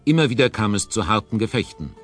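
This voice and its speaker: A man narrating a scripted audio drama trailer in a measured storytelling tone. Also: on the low side, lively intonation, breathy edge, soft background music.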